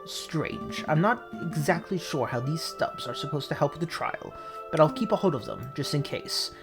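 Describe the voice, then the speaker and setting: A performer voicing a brooding male falcon lawyer in a game, speaking in formal, measured phrases. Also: medium pitch, lively intonation, over music, close to an everyday voice. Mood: puzzled.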